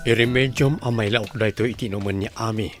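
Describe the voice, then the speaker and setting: A man's voice: on the low side, fairly even intonation, quick pace, music in the background, natural voice. A man playing a stern, scheming boss in an amateur audio drama, speaking in a curt, commanding way. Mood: menacing. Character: stern, scheming male boss ordering servant around.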